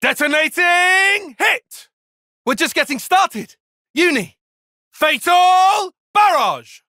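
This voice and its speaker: A man's voice: very high voice, animated, slow, sharp tone, no room sound, clean studio sound, exaggerated voice. An actor voicing an earnest, calculating and proud young male tactician in a video game, speaking formally and precisely with intensity. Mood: battle shouting. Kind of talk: video game battle voice lines.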